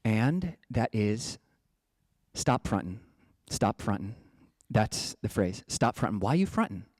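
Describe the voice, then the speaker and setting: A man's voice: fairly low pitch, sing-song, slow, a little mellow, dry recording, clean studio sound. A man from the United States, a Buddhist monk giving a casual, playful dharma talk. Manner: playful, chatty, breaks into joking slang voices.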